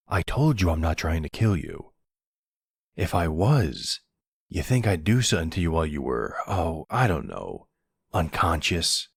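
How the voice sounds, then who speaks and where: Man playing a deep, gravelly flirty vampire. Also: no room sound, exaggerated voice. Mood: exasperated.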